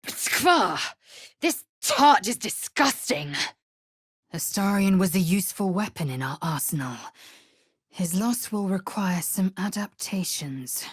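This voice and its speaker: A woman playing a fierce, proud alien warrior in a video game, speaking in a haughty, clipped, formal manner with a husky edge. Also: mid-range voice, lively intonation, slow, dry recording, clean studio sound. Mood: fierce, angry, then proud, stern. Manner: imperious, clipped, formal phrasing. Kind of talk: video game character acting.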